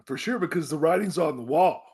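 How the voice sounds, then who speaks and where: A man hosting a casual sports podcast. He is jokey and excitable and keeps the talk playful. His voice sits a little higher than most men's. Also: fairly quick, breathy edge. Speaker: podcast host.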